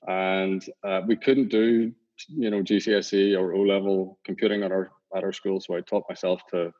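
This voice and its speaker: Middle-aged Northern Irish man, founder being interviewed, lowish voice, rambling and easygoing, dull lo-fi sound. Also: moderate pace. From Northern Ireland. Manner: relaxed, rambling, many fillers and restarts. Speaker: company founder and CEO, guest. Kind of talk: casual interview talk. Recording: dull lo-fi audio.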